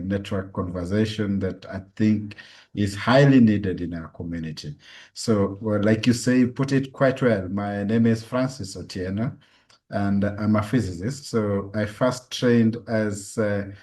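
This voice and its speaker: A man's voice: low voice, mellow. A man with a Kenyan accent giving personal, explanatory answers as an interview guest. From western Kenya. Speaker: guest, physicist and university lecturer.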